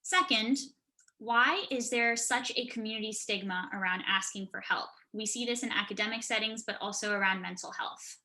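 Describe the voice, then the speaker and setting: Bright, higher-pitched woman hosting. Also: moderate pace. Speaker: event co-host. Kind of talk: event introduction on a video call. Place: video call. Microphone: video call microphone.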